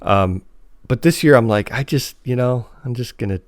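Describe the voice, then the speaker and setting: An American man in his forties chats casually as a podcast co-host. His pitch sits in an ordinary middle range, and he feels his way through thoughts informally. The sound is very dry, with no room on it. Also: normal speed, dry recording. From United States. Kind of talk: casual conversational review chat.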